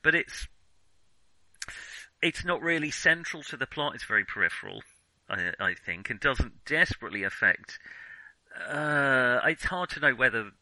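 A man in a casual film discussion, talking in a halting way and often correcting himself mid-sentence. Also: medium pitch, animated, pushed and bright, no room sound, poor audio. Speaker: film podcast co-host.

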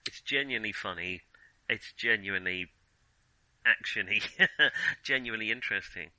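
A man co-hosting a film podcast in casual discussion, giving emphatic opinions and halting as he thinks aloud. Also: on the low side, bright, forceful tone, no echo, rough recording.

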